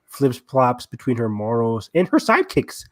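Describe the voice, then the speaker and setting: A man's voice: mid-range voice, big pitch swings, moderate pace, no echo, clean studio sound. A man co-hosting a podcast in casual, gushing fan discussion, speaking with open enthusiasm. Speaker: podcast co-host.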